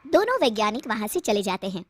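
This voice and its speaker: A woman narrating a cartoon story in an animated storytelling manner. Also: mid-range voice, quick pace.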